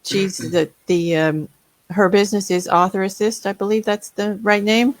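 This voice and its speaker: A woman's voice: medium pitch, fairly even intonation, dry recording. Chatty woman moderating a video panel. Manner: halting, many fillers and restarts. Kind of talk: casual online panel talk. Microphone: video call.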